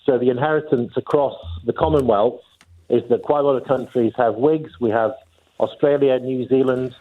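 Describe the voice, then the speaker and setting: A man's voice: medium pitch, steady tone, moderate pace. A man calling a radio phone-in, giving an informed explanation in an emphatic, matter-of-fact way with blunt corrections. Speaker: phone-in caller, lawyer. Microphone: telephone. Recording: thin phone line.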